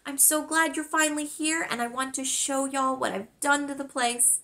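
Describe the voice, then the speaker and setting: A woman's voice: high-pitched, steady tone, normal speed, a bit bright, pitched above the performer's own voice. A woman voicing a welcoming, folksy grandmother in a storybook, gracious and reassuring. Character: warm, welcoming grandmother, proud island nature guide.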